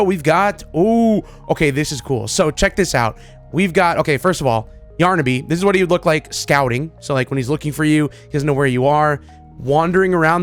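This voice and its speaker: Higher-pitched man, enthusiastic gaming commentator. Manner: informal, enthusiastic, rambling. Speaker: gaming video creator. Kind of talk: casual excited commentary on pictures.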